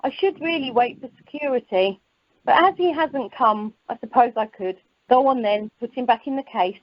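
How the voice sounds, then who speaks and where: A woman's voice: on the high side, animated, normal speed, close to an everyday voice. A woman playing an officious, slightly scatty airport check-in clerk in amateur radio comedy. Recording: muffled, dry telephone conference line.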